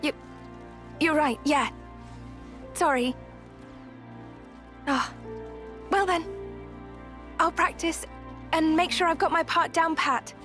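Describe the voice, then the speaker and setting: Very high-voiced, gentle girl. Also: slow, pauses often, music in the background. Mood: apologetic. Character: sweet, eager, timid young girl musician. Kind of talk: video game cutscene acting.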